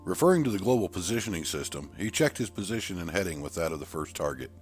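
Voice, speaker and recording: A man reading scripted dramatic narration from a military thriller with measured, deliberate phrasing. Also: lowish voice. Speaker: audiobook narrator.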